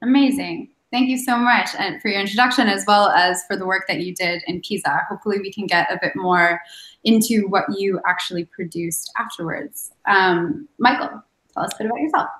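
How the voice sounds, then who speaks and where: A woman's voice: mid-range voice, brisk. Enthusiastic woman host in an echoey room. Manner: warm, enthusiastic, lightly laughing. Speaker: webinar host. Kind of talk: hosting a webinar.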